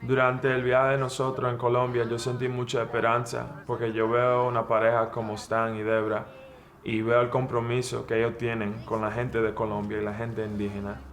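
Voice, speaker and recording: A man's voice: medium pitch, completely flat delivery, moderate pace, a bit bright. A young man from the Bronx with a New York Dominican accent, giving a personal testimony. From the Bronx, United States. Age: young adult. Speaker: student and missionary volunteer.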